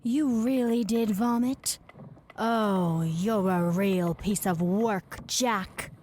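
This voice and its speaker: An actress playing a bitter, scornful former lover in a theatrical audio drama, with faint noise behind. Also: female voice, medium pitch, very slow, a little mellow.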